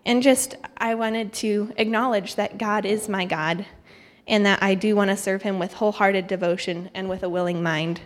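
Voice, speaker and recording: A woman's voice: mid-range voice, fairly even intonation, normal speed, few pauses. A young woman who grew up in Thailand gives an informal church testimony, speaking conversationally with a self-deprecating touch. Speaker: guest speaker, missionary candidate. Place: church hall, speaking to congregation. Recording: echoey hall with long reverb.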